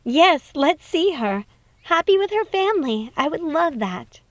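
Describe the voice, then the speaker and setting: A woman's voice: sits high, big pitch swings, measured pace, dry recording, voice pushed up. A woman voicing a sweet, emotional young princess in a storybook, earnest and affectionate, with a gushing delivery. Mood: happy, eager.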